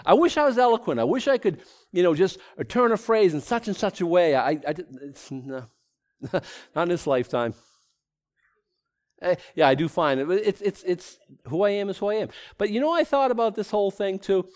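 A man's voice: high-pitched, animated, normal speed, darkish tone. A middle-aged man with a Boston accent teaching a sermon in a rambling, self-deprecating way, full of restarts. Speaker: pastor. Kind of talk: conversational Bible teaching sermon.

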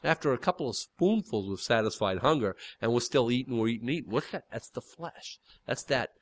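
Folksy preacher with a big voice. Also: male voice, mid-range voice, expressive, fairly quick. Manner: folksy, rambling, with frequent fillers and restarts. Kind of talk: informal sermon.

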